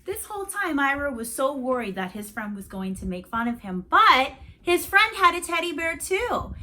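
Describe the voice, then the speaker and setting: A woman reading a story aloud to children in an expressive, teacherly way, speaking directly to young listeners. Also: sits high, moderate pace.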